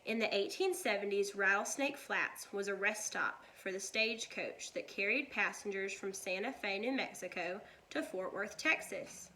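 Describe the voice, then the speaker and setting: A woman reading a children's story aloud like a teacher, with deliberate, expressive delivery. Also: medium pitch, slight background noise, low-quality recording.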